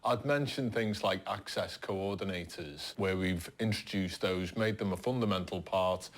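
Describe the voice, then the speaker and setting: A man with a lowish voice gives an interview as a television executive, talking in a testimonial style. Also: moderate pace.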